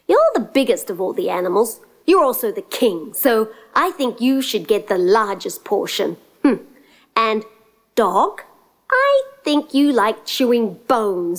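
A woman storyteller voicing a brave, confident young princess who is bold, plain-spoken and kind. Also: medium pitch.

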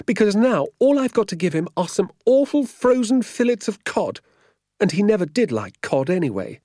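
A man voicing an indignant, excitable British vicar's wife in a dramatic, wailing manner for an audiobook. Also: high voice, normal speed, no echo, clean studio sound, voice pushed up. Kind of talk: audiobook character voice.

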